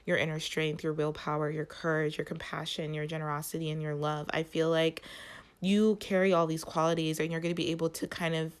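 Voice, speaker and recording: Nasal woman giving a halting tarot reading. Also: low-pitched, smooth. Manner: halting, searching for words, many "like" fillers. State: nasal from allergies. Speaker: tarot reader and channel host. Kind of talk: spoken tarot reading to viewers.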